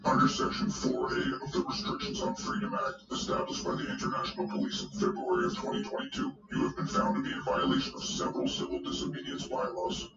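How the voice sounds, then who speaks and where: A man playing a cold, menacing international police official in a dramatic trailer, formal and stern, with a breathy, whispery delivery. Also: mid-range voice, completely flat delivery, fast, hardly any pauses, distant mic, natural voice. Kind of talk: dramatic film trailer acting. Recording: echoey, muffled playback with loud music.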